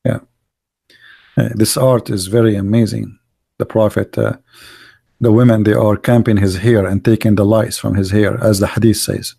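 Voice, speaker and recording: Middle-aged guy ranting sarcastically on his podcast, choppy english, gravelly voice. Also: fairly low pitch, level tone, normal speed, mellow. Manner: sarcastic, heavy accented English with broken grammar. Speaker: host of a religious debate show. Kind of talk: mocking live podcast monologue.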